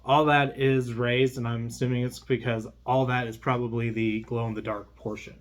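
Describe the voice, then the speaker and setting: A man's voice: mid-range voice, normal speed. A man chatting casually and unscripted as he presents an unboxing video, in a rambling, conversational way. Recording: clear steady background hum.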